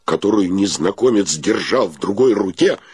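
An actor narrating a wry comic period tale in a radio play, in a measured, dramatic storytelling manner. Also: male voice, lowish voice, animated, darkish tone, slightly rough, dry recording.

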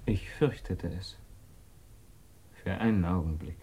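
Low-voiced, intense, troubled suspect. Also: male voice, dark tone, slightly rough, full character voice. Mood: resigned, solemn. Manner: intense, formal, halting under strain. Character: troubled, proud, intense male suspect under questioning. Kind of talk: German radio drama acting.

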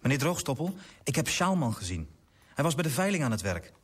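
An actor playing an earnest young clerk and writer who narrates in a literary radio drama. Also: male voice, medium pitch, big pitch swings, brisk, breathy edge. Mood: earnest reporting.

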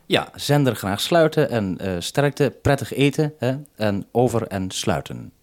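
A man's voice: mid-range voice, expressive, a little slow, darkish tone. A man with a Dutch accent working as a radio host, talking businesslike over a two-way radio link. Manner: businesslike radio procedure, ends turns with "over". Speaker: radio host at base station. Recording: 1970s radio link recording.

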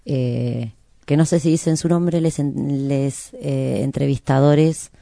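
A woman's voice: rumbling low, steady tone, takes it slowly, creaky, mellow, dry recording, studio quality. A woman with an Argentine Rioplatense accent hosting a radio show in a casual, rambling, playful chat. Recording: background music. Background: music.

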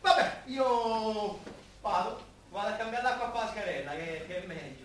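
Chatty working-class neighbour played by a man, high-pitched, stagey radio drama, very echoey with crowd noise. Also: moderate pace, distant mic, natural voice. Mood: chatty, evasive. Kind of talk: stage-style radio play acting.

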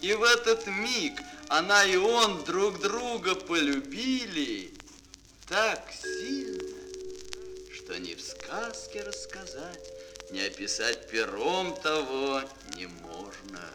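A man's voice: fairly high pitch, sing-song, slow, poor audio. A man narrating a children's fairy tale in a dramatic, declamatory way, lingering on key words. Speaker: storyteller, narrator. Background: music.

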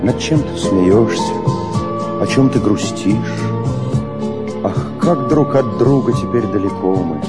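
A man delivers poetic lyrics with a widely swinging pitch. His manner is lyrical and drawn out. Loud instrumental music surrounds the voice. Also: animated, takes it slowly, pauses often. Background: prominent instrumental music.

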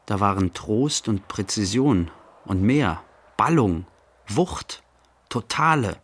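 An actor playing a pensive, serene man in a radio play, speaking a reflective literary monologue in halting fragments. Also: lowish voice, expressive, fairly bright tone, slightly rough, exaggerated voice. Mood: reflective, quiet. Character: pensive man musing inwardly, mildly serene. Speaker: actor, narrator.

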